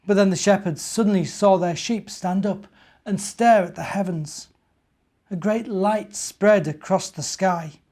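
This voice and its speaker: A middle-aged man, a minister, talking and reading to young children in an expressive, simple storytelling way. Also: sits high, a little slow. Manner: expressive, simple child-directed delivery with rhetorical questions. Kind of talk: children's storytelling and gentle chat.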